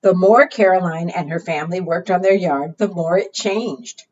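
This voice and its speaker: A woman leading a preschool storytime, reading aloud and talking to young children in an expressive, deliberate, teacherly way. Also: lowish voice. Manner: asks the young listeners frequent simple questions. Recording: muffled, distant microphone.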